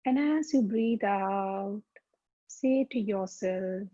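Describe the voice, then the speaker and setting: A woman teaching yoga as a slow guided meditation, speaking calmly and deliberately with soothing pauses. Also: mid-range voice. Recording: dull, muffled lo-fi sound.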